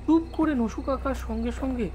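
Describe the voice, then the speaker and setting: A man narrating a horror story in the first person, measured and dramatic, with suspenseful pauses. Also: very high voice, mellow, slight background noise. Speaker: narrator and lead voice actor.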